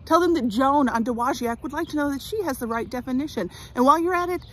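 A woman's voice: high-pitched, fast, a little hiss or hum, natural voice. A woman playing a judgmental, nosy suburban neighbor in a comedy monologue, blunt and condescending. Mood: scolding. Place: outdoors in a residential front yard.

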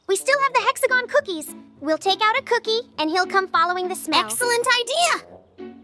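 A woman's voice: extremely high pitch, soft background music, heavily acted. A woman voicing a bossy young number creature in a kids' cartoon, arguing with its sibling about who is in charge. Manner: lively, bossy, quick to argue. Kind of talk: cartoon voice acting.

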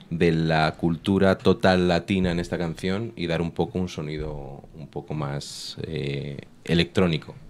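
Guest rapper, Spanish accent, very low voice, unhurried studio interview. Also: male voice. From Spain. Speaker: rap artist guest. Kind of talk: interview answers. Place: radio studio. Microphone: studio microphone.

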